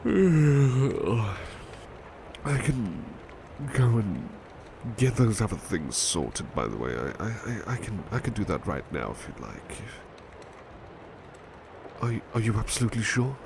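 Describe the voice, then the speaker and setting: A man playing a gentle, devoted butler in an intimate ASMR roleplay, speaking politely and tenderly with a hesitant stammer. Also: lowish voice, sing-song, slow pace, gravelly, long pauses, noisy background, full character voice. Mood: weary, flustered. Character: gentle, devoted, flustered male butler, tired and affectionate.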